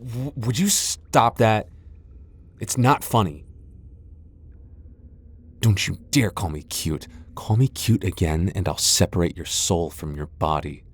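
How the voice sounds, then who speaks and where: A man's voice: sits low, slow pace, long pauses, breathy edge, full character voice. A male voice actor playing a proud tsundere vampire lord in an ASMR boyfriend roleplay, haughty and defensive, stammering when flustered. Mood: flustered, indignant.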